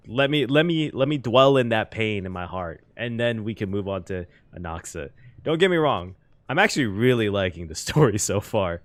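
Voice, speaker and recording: Male gaming reactor, somewhat deep voice, very animated intonation, effusive, casual, emphatic repetition, faint background music. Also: no room sound. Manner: effusive, emotive, repeats phrases for emphasis. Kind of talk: casual live game reaction commentary.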